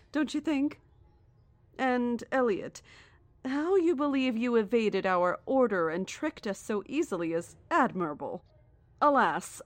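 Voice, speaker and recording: A woman playing a serene, menacing cult leader in an audio drama, formal, measured and condescending. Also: on the high side, no echo. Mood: courteous, ominous.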